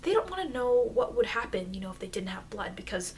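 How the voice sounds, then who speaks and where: A woman playing a chatty, informal immortal vampire teacher in an ASMR roleplay, rambling hesitantly and confidingly, with soft dips into whispering. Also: a little high, very animated, fast. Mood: confiding, defensive. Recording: noisy amateur microphone.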